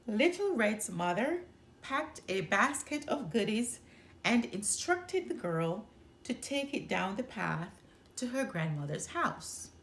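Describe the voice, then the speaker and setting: A woman reading a children's story aloud as a storyteller, in an expressive narrating style. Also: mid-range voice, slow, slight background noise. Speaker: storyteller and channel host.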